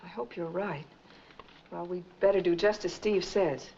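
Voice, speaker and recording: A woman's voice: fairly low pitch, fairly even intonation, unhurried, dark tone, a little hiss or hum. An actress playing a brave, protective mother in earnest, composed 1940s film style, on a muffled old soundtrack. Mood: anxious, resolute.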